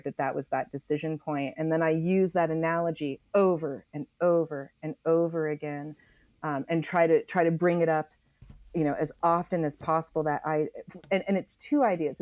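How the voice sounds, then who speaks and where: An American woman from Oregon explaining her work as an interview guest in a reflective, conversational way, emphatic and often pausing to think aloud. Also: deep voice, darkish tone, no room sound. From Oregon, United States. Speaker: interview guest, author and geologist.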